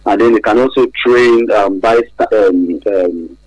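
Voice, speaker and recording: Husky, low-pitched Nigerian man, hesitant. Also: a little mellow, no room sound, low-quality recording. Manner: hesitant, frequent pauses and repeated "right?" tags. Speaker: guest expert from an advocacy organization. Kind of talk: radio interview, explanatory advice. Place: radio studio.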